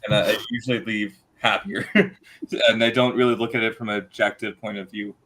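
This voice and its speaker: Easygoing low-voiced man. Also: little pitch movement, brisk, fairly bright tone, slight background noise, low-quality recording. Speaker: guest reviewer. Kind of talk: casual chat.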